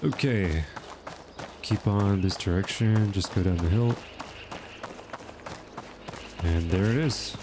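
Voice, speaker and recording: A man's voice: low voice, slow, pauses often, noisy background. Chatty man with a stuffy nose. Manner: chatty, thinking aloud with fillers. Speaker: gaming channel host. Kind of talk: casual gameplay commentary.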